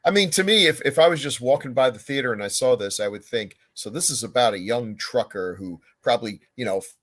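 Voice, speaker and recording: A man's voice: mid-range voice, animated, brisk, a bit bright. A man co-hosting a casual podcast chat, joking and sardonic. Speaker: podcast co-host.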